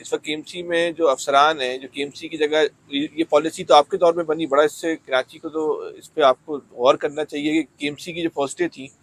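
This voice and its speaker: A man's voice: a little high, fairly even intonation, normal speed, hardly any pauses, poor audio. A man conducting a probing political interview, challenging and often interjecting.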